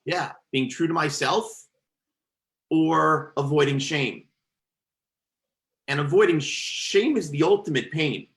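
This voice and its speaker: A man's voice: fairly high pitch, slow pace, long pauses, fairly bright tone. Man talking with a stutter. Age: adult. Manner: stutters, with repeated words and restarts. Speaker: podcast guest. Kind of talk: reflective conversational storytelling.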